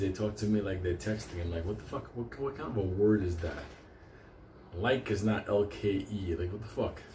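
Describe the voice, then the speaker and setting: A man's voice: mid-range voice, roomy sound, slight background noise. A man from Puerto Rico whose first language is Spanish, telling profane, rambling stories and laughing often as host of his own show. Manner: rowdy, laughs often, swears constantly. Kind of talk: casual profane storytelling monologue.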